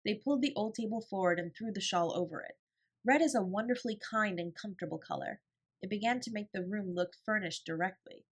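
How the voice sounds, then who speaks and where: A woman narrates a classic children's story. Her voice is mid-pitched, and her scripted delivery is expressive, in the manner of an audiobook storyteller. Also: a little fast.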